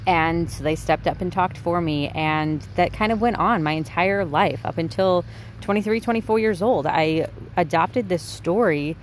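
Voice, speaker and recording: Soft-spoken woman hosting a podcast. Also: on the low side, normal speed, a little hiss or hum. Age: 20s. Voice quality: soft, quiet voice. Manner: chatty and candid, with light swearing. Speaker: podcast host. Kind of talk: casual solo podcast monologue.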